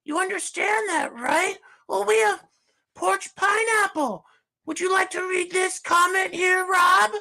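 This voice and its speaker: A man doing a shrill falsetto hostess. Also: steady tone, unhurried, vocal fry, sharp tone, heavily acted. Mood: gushing. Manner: falsetto, starts lines with "well", fawning. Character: shrill fake woman hostess, gushing. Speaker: livestream host. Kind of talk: comedic livestream character bit.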